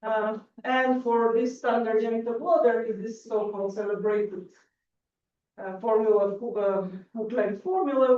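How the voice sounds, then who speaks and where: A woman from Bulgaria giving a math conference lecture, halting, with many restarts and fillers. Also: medium pitch. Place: lecture hall. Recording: echoey hall, distant lo-fi mic.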